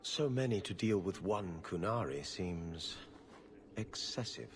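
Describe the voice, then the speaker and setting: A man's voice: deep voice, animated, slow, noisy background, full character voice. A man voicing a formal, guarded government official in a video game, officious and disapproving. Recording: long hall reverb.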